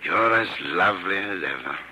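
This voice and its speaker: Deep bright voiced older guy, earnest romantic, old radio play with a bit of hiss. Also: animated, normal speed, heavily acted. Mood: warm, reassuring. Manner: earnest, romantic, tender delivery. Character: devoted, gentle older man, long-lost sweetheart. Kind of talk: 1950s radio drama acting. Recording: old radio broadcast, light noise.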